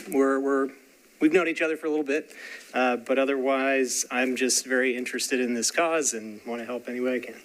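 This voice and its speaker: A man's voice: mid-range voice, a bit bright, low-quality recording. A man introducing himself at a meeting, rambling and self-deprecating. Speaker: commission member.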